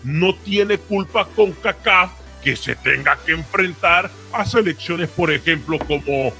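A male TV panelist with a gravelly voice arguing indignantly in a heated debate. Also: a little high, a little slow, sharp tone. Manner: argumentative, indignant. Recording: music under the voice.

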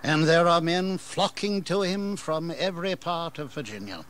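An actor playing a weary, proud older colonial governor in an audio history drama, with a stately delivery. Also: male voice, on the high side, normal speed, fairly bright tone, lightly acted. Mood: weary, reflective.

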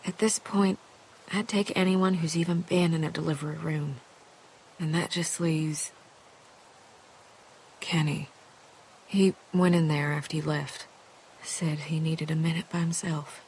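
A woman's voice: deep voice, level tone, a little slow, long pauses, darkish tone, slight background noise, rough recording. A woman playing a frank, caring, worried survivor in a video game drama, talking in an earnest, folksy way. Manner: earnest, folksy, plain-spoken.

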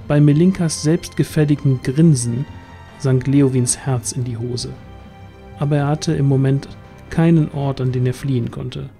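Mellow, even-toned male storyteller. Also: medium pitch. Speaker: narrator. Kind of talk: scripted fantasy audio drama narration.

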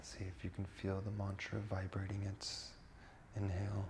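A man leading a guided meditation as a yoga instructor, giving hushed, unhurried cues. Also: deep voice, monotone, noisy background. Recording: long hall reverb. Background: music.

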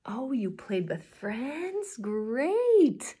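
A woman in her thirties talking to young children as a story time host, with an animated, encouraging and very expressive manner. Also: a little high, extremely slow, husky. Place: small indoor room, classroom-like.

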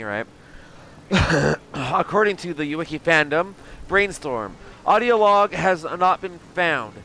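A man in his thirties doing casual livestream game commentary, halting as he thinks aloud and reads notes. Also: fairly high pitch, fairly bright tone, a little hiss or hum. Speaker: game streamer.

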